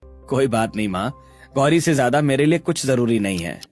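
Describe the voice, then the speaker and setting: A man voicing a devoted young husband in a cartoon story, soothing and gently teasing. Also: medium pitch, expressive, normal speed, faint music behind, natural voice. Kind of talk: Hindi cartoon story voice acting.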